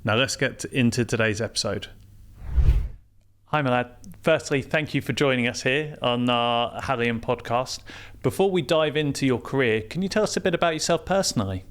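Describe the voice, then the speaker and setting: A man's voice: mid-range voice, normal speed, a bit bright. A middle-aged man hosting a business podcast, reading a polished intro and then asking interview questions. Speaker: podcast host, CEO.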